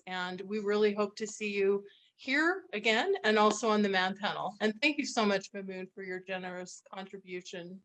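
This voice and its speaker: Middle-aged woman, interview host, chatty, conversational, repeats phrases for emphasis, medium pitch. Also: a bit bright.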